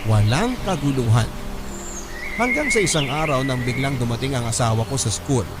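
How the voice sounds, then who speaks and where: A man narrating a first-person letter in a calm, steady reading. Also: medium pitch, moderate pace, heavy background noise. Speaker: letter reader. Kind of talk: scripted narration. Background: music.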